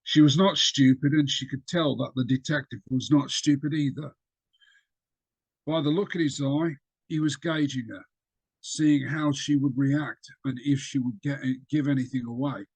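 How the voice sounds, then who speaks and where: A man reading an extract of his own fiction aloud over a video call, with a steady storytelling delivery and small slips. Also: medium pitch, moderate pace, slightly rough, no room sound, poor audio.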